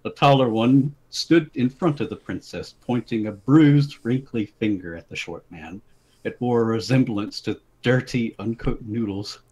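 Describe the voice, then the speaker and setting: A man's voice: medium pitch, measured pace, a little mellow, slightly rough, low-quality recording. A man giving a dramatic reading of a comic fantasy story as its narrator. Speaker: story reader.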